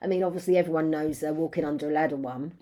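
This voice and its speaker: A British woman co-hosting a casual podcast with a croaky voice from a cold, chatty and quick to react. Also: sits low, fairly even intonation, mellow. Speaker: podcast co-host. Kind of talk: casual chat.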